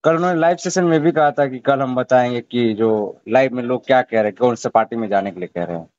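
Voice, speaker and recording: A man's voice: fast, darkish tone, no room sound. A man conducting a phone interview, putting persistent questions in a deferential way on a recorded call. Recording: muffled low-bandwidth phone call recording.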